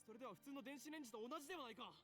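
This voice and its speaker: Visual novel role: grandiose young male mad scientist, very fast pompous delivery, music underneath. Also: extremely high pitch, sharp tone. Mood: theatrical, scornful. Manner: pompous, theatrical declarations. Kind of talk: Japanese anime voice acting in a visual novel.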